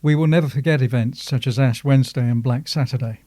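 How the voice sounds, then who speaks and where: Australian male reader, dark mellow tone, dry studio-like sound, formal prepared statement. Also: mid-range voice, fast, no echo. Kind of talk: scripted reading of a formal statement.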